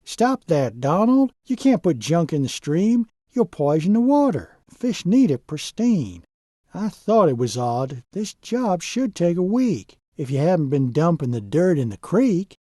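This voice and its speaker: Stern storybook truck foreman voiced by a man, firm and scolding, lively pitch. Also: unhurried, no echo, studio quality. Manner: firm, scolding, rhyming lines. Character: stern, sensible male work truck foreman.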